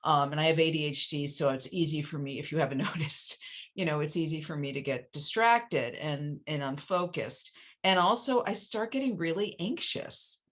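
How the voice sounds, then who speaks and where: A woman interviewing an author in casual conversation, talking quickly and joking at her own expense. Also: low-pitched, a bit bright. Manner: quick, self-deprecating, with laughs.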